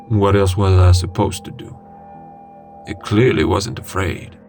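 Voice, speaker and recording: A man reading a scary first-person story in a dramatic, suspenseful way with deliberate pauses. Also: lowish voice, vocal fry. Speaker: horror story narrator. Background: music.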